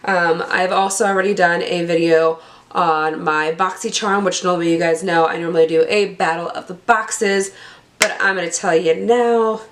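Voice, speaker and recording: A young woman with a cold-roughened, hoarse voice, chatting casually as she unboxes beauty products and stumbles over product names. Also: on the low side, fairly even intonation, moderate pace, a little hiss or hum. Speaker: beauty vlogger.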